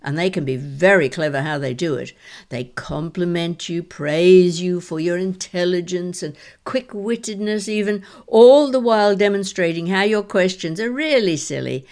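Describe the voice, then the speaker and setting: An actress playing a wry, shrewd elderly mother and grandmother in an audio drama, speaking in a measured, reflective way. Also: fairly low pitch, darkish tone, close to an everyday voice. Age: older.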